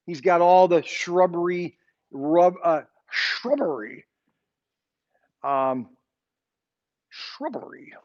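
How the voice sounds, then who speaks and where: A man's voice: high voice, animated, takes it slowly, pauses often, muffled recording. A man in his sixties teaching an informal Sunday school Bible lesson, rambling and often checking in with his listeners. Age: 60s. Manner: rambling, keeps checking in with "okay?".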